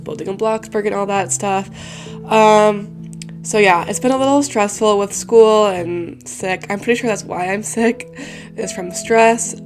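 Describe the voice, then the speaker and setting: A young woman with a congested, stuffy voice, chatting casually and rambling with lots of fillers while talking over a building game. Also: medium pitch. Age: young adult. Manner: rambling, many fillers and restarts. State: congested, recovering from a cold. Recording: soft music behind the voice.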